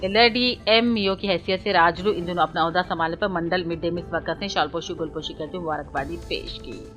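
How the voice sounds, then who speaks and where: A woman's voice: on the low side, fast. A woman news reader delivering a scripted bulletin in a formal manner, reading item after item. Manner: formal, reading out items one after another. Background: background music.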